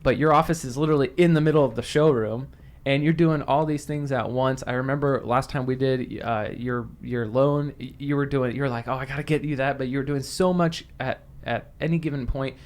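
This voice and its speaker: A man's voice: medium pitch, fairly even intonation, a little fast, few pauses. A man hosting a podcast interview, talking casually with frequent restarts. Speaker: podcast host. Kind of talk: conversational interview.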